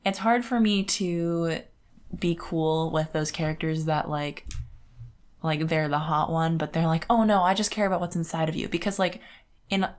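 A woman's voice: sits low, moderate pace, a little mellow. A woman co-hosting a podcast, casually discussing books in an opinionated, conversational way peppered with "like". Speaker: podcast co-host.

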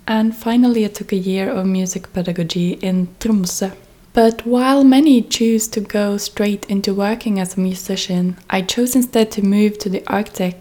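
A woman from Norway, a freelance cellist, hosting her own podcast in an earnest, measured monologue that lists her steps one by one. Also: medium pitch, dark tone. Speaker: podcast host. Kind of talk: reflective solo podcast monologue.

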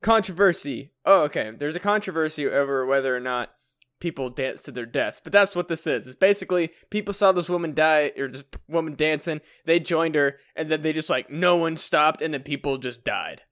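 A man's voice: on the high side, fairly quick, fairly bright tone. A young man co-hosting a comedy podcast, rambling and swearing as he chats and laughs often. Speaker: podcast co-host. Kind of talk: casual comedic chat.

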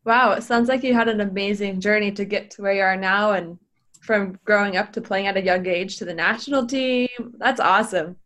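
A woman's voice: mid-range voice, steady tone, brisk, a bit bright. A woman interviewing a coach, enthusiastic and affirming.

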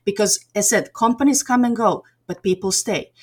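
A woman's voice: mid-range voice, fairly quick, a little mellow, slightly rough. Woman, middle-aged, from Serbia, chatty, lots of fillers and restarts, casual interview talk. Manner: chatty, many fillers and restarts, laughs often. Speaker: game developer community organizer.

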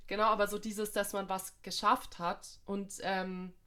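A woman's voice: mid-range voice, little pitch movement, a little slow, pushed and bright, smooth. A young woman from Munich, co-hosting a casual podcast chat, full of fillers, restarts and youthful slang. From Munich, Germany. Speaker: podcast co-host.

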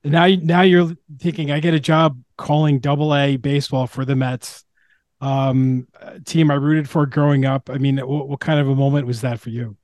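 A man hosting a podcast, putting casual questions to a guest in a halting way with restarts. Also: medium pitch, level tone, darkish tone, dry recording. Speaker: podcast host interviewing.

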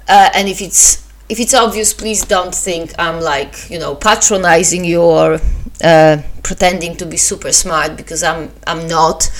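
A woman's voice: lowish voice, fairly bright tone. A woman with a Polish accent, hosting her own podcast in a casual, hesitant way with many fillers and restarts. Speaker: podcast host. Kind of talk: casual solo podcast talk.

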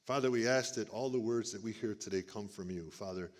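A middle-aged man with a big booming voice, speaking to a church congregation in a folksy preaching manner. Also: a little fast. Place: church sanctuary. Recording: long reverb of a church hall. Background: church hall.

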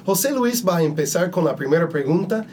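Higher-pitched man hosting a podcast. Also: lively intonation, a little fast, darkish tone, slight background noise. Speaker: podcast host and interviewer. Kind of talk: conversational podcast interview.

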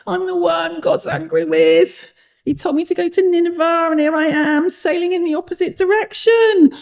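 A woman's voice: very high voice, steady tone, a little mellow, voice pushed up. A woman voicing a grumbling, self-pitying man, a reluctant prophet who whines and groans in a storybook reading. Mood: glum confession. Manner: whiny, groaning, drawn-out complaint.

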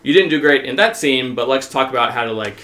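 An American man from the South talking casually and openly about himself, haltingly, with "like" as a constant filler. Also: medium pitch, expressive, bright, forceful tone. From southern United States. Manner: halting, heavy use of "like" as filler; open, self-revealing. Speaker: podcast guest.

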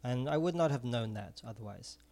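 A man's voice: mid-range voice, expressive, brisk, gravelly, mellow. Man, middle-aged, from Israel, earnest, repeats words, casual interview. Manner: earnest, repeats words while searching for phrasing. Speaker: ice cream vendor and former music teacher.

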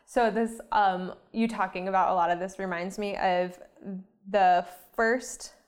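A woman's voice: mid-range voice, steady tone, unhurried. A woman hosting a podcast who shares a personal memory in a reflective, self-deprecating way. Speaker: podcast host. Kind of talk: conversational podcast chat.

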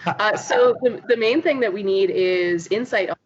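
A woman's voice: mid-range voice, normal speed. A woman with a Canadian accent speaking as a guest in a casual interview, chatty and explanatory. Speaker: interview guest, startup founder. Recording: dull lo-fi audio with clear background noise.

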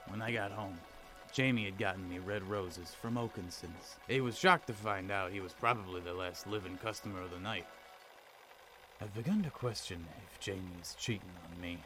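A man's voice: low voice, moderate pace, pushed and bright, soft background music. A man playing an earnest, brooding police detective with a poetic streak in an audio drama. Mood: pensive, uneasy. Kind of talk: audio drama acting with noir-style diary narration.

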